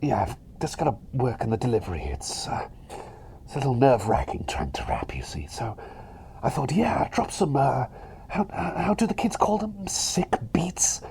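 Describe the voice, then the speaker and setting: A man playing a cheery, eccentric plague doctor in a whispered ASMR roleplay, polite, chatty and hesitant, bumbling along with excitable eagerness. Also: medium pitch, moderate pace, slight background noise. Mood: rambling, hopeful.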